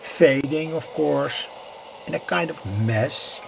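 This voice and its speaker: A man giving an unscripted technical demonstration as a hobby electronics presenter, halting and explanatory, with a husky, slightly gravelly voice. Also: on the high side, noisy background. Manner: halting, explanatory, with frequent pauses and repeated phrasing.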